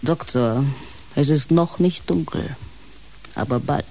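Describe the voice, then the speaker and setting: An actress playing a caring, brisk housekeeper in a radio drama, with a matter-of-fact manner. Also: female voice, sits low, creaky, warm tone, a little hiss or hum, full character voice, poor audio. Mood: solicitous.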